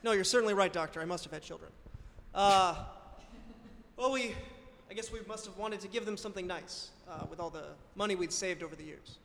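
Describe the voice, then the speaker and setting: A woman's voice: medium pitch, fairly quick, fairly bright tone, close to an everyday voice. A woman playing a confused, agreeable husband in an improvised radio play, speaking hesitantly and earnestly. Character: confused, agreeable married man, earnest smart-home buyer. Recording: echoey hall-like reverb.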